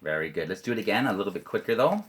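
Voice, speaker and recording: Deep voiced canadian guy teaching kids english online, slow and bouncy, silly chants. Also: very animated. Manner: playful, repeats chants slowly and clearly. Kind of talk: online English lesson for children.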